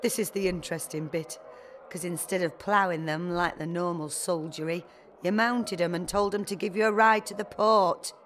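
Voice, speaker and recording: A woman's voice: fairly low pitch, fairly even intonation, normal speed, fairly bright tone, slight background noise, natural voice. Woman as a chatty brothel madam. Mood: sly, chatty. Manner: familiar, knowing, chatty. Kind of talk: video game voice acting.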